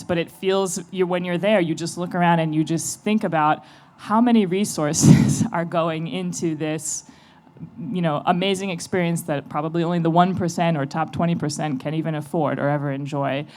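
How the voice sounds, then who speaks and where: American woman on a panel, low voice, chatty and kinda self-deprecating. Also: darkish tone. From United States. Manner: chatty, self-deprecating, laughs between thoughts. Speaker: panelist, venture investor. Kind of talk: panel discussion.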